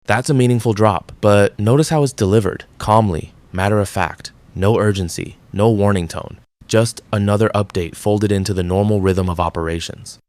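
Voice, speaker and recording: A man giving a measured, deliberate scripted narration as the host of an analysis channel. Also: lowish voice, darkish tone, no room sound. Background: background music.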